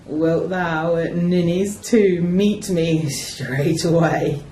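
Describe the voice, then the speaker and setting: Woman playing a slow, overwrought young stage lover, echoey. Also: on the low side, dark tone, slight background noise. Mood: ardent. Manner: melodramatic, drawn-out declaiming. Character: overwrought young male lover in a clumsy play-within-a-play. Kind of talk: amateur Shakespeare radio play. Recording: roomy, echoing amateur recording.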